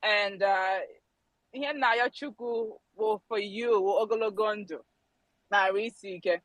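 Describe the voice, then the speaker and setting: A woman's voice: medium pitch, measured pace, a bit bright, no room sound, low-quality recording. Playful woman, casual chatter and prayer, dry close sound. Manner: laughing, playful, prays in Igbo. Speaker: Twitter Space speaker. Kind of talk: casual chat, prayer in Igbo.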